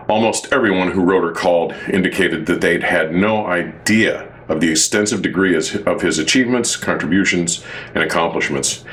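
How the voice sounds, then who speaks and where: A man hosting an audio review, speaking to camera in formal, wordy, measured phrasing as if from prepared notes. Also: sits low, fairly bright tone. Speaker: audio reviewer and show host. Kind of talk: semi-scripted product review monologue to camera.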